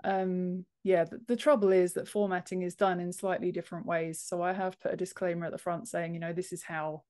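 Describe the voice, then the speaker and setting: A woman's voice: lowish voice, flat delivery, brisk, a little mellow. A woman in her thirties giving explanatory answers as an interview guest on a video call. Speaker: podcast guest, book formatter. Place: home office, indoors, on a video call. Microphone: wired earphones on a video call.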